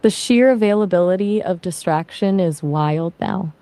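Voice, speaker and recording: A woman's voice: lowish voice, normal speed, dark tone, no echo. A woman's voice from an AI conversation partner, chatting casually in a friendly, attentive way and asking follow-up questions. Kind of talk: casual chat.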